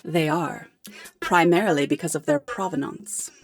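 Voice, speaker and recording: An actress playing a poised, articulate professor in a radio drama, explaining things in a measured, polite way. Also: female voice, on the low side, soft background music, natural voice. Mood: explanatory. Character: poised, articulate female professor hiring a detective.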